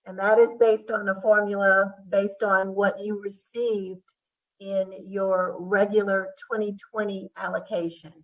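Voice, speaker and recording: A woman speaks slowly as an official at a public hearing. Her explanations are formal and halting, and she sounds muffled, some way from the microphone. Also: mid-range voice, little pitch movement, a bit bright. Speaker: city grants official answering questions. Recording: muffled audio, distant microphone.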